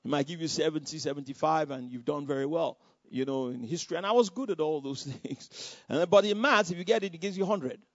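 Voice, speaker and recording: Animated Nigerian preacher, higher voice. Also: male voice, fairly quick, few pauses, no room sound. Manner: animated storytelling with repetitions and laughter. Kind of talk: sermon testimony. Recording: dull lo-fi sound.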